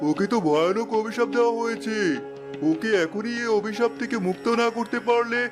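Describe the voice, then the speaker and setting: A suspicious village holy man, voiced by a man for a cartoon. He is high-pitched, stern and dramatic, speaking over clearly audible music. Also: brisk. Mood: alarmed, grave. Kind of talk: cartoon story dubbing. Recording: music bed under the dialogue.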